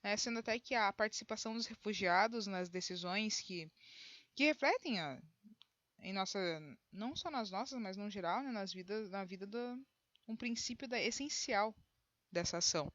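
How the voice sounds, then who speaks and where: A woman's voice: medium pitch, moderate pace, a bit bright, no room sound, muffled recording. Brazilian woman teaching a class, dry sound. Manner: explanatory, conversational, frequent tag questions and restarts. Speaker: high school geography teacher. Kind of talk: recorded online lesson.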